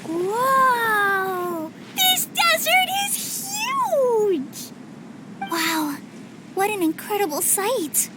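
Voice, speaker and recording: A woman's voice: extremely high pitch, very animated, measured pace, heavy background noise, full character voice. A woman voicing a cheerful, innocent little girl adventurer with a childlike voice in a video game. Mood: excited. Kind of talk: animated game cutscene acting.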